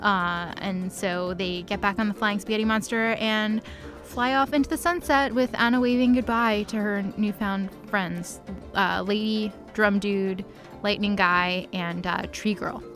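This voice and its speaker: A woman's voice: mid-range voice, steady tone, unhurried, fairly bright tone. Woman doing a jokey slangy story recap, bit of music behind her. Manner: slangy, acts out dialogue. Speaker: co-host recapping a story series. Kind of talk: casual comedic recap storytelling.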